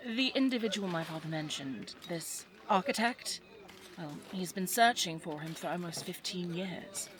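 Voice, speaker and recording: A woman's voice: on the low side, lively intonation, moderate pace, fairly bright tone. A woman playing a headstrong, caring young English daughter in a 1920s audio drama, with well-spoken, formal period phrasing. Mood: composed, confiding. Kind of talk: scripted audio drama acting.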